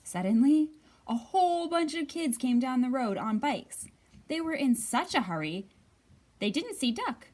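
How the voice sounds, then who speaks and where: Woman, children's storytime host, slow, sing-song, drawn-out words, highish voice, slightly distant mic. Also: big pitch swings, a little mellow. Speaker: children's librarian hosting storytime. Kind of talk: expressive read-aloud and counting for young children.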